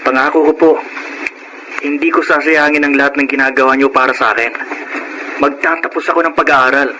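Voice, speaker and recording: A man's voice: medium pitch, steady tone, fairly quick, natural voice. A man playing a dutiful young Filipino student, respectful and earnest, in a radio drama on a noisy track. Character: Norman, dutiful grateful young male student.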